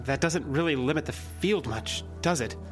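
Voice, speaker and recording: Male actor as nervous fellow, raised pitch, stammering, tentative, audio drama, music clearly behind. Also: moderate pace, natural voice. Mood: uneasy. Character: nervous, worried man, quick to question.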